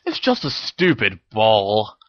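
A sullen teenage boy wizard as a story reader plays him, defensive and petulant. He grumbles with a lot of movement in his pitch. It is a storybook performance, recorded dry. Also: huge pitch swings, slow pace, no room sound, muffled recording. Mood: annoyed, evasive.

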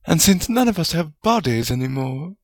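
A man acts out a tart witch spirit from a storybook, sardonic and quick to scold. The pitch is raised and lively, the delivery dry and chiding, with no room echo. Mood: dismissive.

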